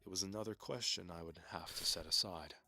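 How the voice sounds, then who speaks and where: Very deep, bright-edged male narrator. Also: creaky, faint music behind. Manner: measured, repeating lines as takes. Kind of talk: scripted story narration.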